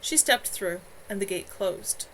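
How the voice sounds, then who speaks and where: A woman reading her own novel aloud in an expressive amateur storytelling style. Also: mid-range voice, unhurried. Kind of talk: live amateur audiobook-style narration. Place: at home. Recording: clearly noisy home livestream recording.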